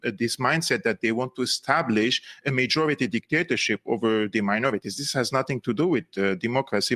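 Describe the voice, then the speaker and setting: Man, Syrian accent, interview guest, emphatic, restarts often, mid-pitched voice. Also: a bit bright. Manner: earnest, emphatic, with frequent restarts. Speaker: guest commentator. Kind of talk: political interview commentary.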